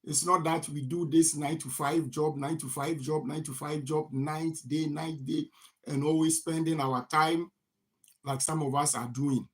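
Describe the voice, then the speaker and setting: A man's voice: a little high, fairly even intonation, normal speed. A man from Ghana who hosts a farming channel. He gives a motivational talk on finance, repeats phrases, and asks rhetorical "what?" questions that he then answers himself.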